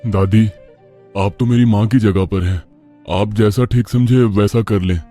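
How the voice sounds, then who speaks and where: A man's voice: fairly low pitch, fairly even intonation, measured pace, dark tone, faint music behind, voice pushed down, lightly acted. A man voicing a humble, good-natured young working husband in a storybook cartoon, modest and respectful. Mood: humble, hesitant.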